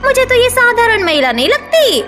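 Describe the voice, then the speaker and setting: Super high shrill cartoon witch, bossy and scolding, loud music behind her. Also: very animated, moderate pace. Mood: indignant. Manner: scolding, theatrical. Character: menacing female witch, easily startled, protective of her territory. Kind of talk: Hindi cartoon character voice.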